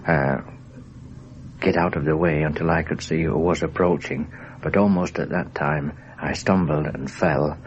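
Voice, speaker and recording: Male survivor interviewee, extremely deep bass, halting and factual, dull hissy vintage radio recording. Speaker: air crash survivor recounting his wartime experience. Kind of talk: first-person recollection for a radio documentary. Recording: muffled, hissy 1960s radio documentary recording.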